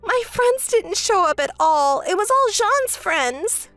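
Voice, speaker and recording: A woman's voice: very animated, slow, fairly bright tone, no room sound, soft background music, heavily acted. A woman voicing a selfish, whiny young woman who begs and complains to her sister in a story. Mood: complaining. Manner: shrill, begging, self-pitying. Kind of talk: storytelling with acted dialogue.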